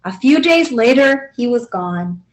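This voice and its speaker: Woman storyteller for children's picture books, high voice, lively intonation. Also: a little slow. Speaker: library storyteller. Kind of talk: children's picture book read-aloud.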